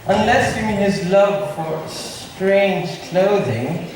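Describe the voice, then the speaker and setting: A man's voice: sits high, lively intonation, takes it slowly. A male student drama club performer playing a teasing, jovial prince on stage. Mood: mocking. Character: teasing, jovial prince among his friends. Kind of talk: amateur stage acting. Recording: very echoey hall, distant mic, faint hiss.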